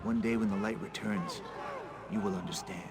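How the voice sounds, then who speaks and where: An actor playing a frank, sardonic, determined young warrior hero in a video game. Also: male voice, lowish voice, fairly even intonation, normal speed, natural voice. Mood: stern. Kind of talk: dramatic video game dialogue.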